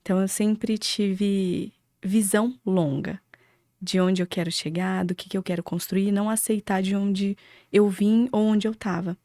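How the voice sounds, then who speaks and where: A woman's voice: mid-range voice, fairly even intonation, measured pace, warm tone, no room sound, studio quality. A woman from Paraná with a Brazilian accent, a podcast guest telling her story casually and reflectively in short listed phrases. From Cambé, Paraná, Brazil.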